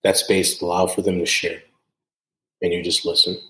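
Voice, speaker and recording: Deep, flat-voiced man hosting a podcast. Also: moderate pace, reverberant, poor audio. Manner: earnest, repeats phrases for emphasis; frequent tag "right?". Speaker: podcast host. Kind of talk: solo podcast monologue.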